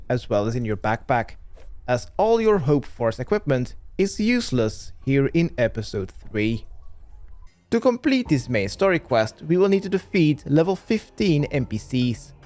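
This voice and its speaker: Man narrating a game walkthrough, lively intonation. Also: fairly high pitch. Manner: step-by-step, instructional. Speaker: gaming guide creator.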